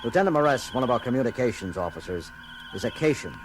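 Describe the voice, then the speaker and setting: Starship captain male actor: commanding, theatrical, very varied delivery, clear music underneath, sci-fi audio drama. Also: mid-range voice, big pitch swings, quick pace, natural voice, rough recording. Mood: assured, dry. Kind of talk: science fiction audio drama acting. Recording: loud music behind the voice.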